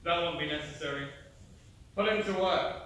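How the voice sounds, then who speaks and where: A man's voice: on the high side, normal speed, a bit bright, lightly acted. Commanding ship's officer, a man acting, echoing from afar. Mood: firm. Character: commanding, urgent male ship's officer holding order. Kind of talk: theatrical stage acting. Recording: echoey theatre stage from far off, faint noise.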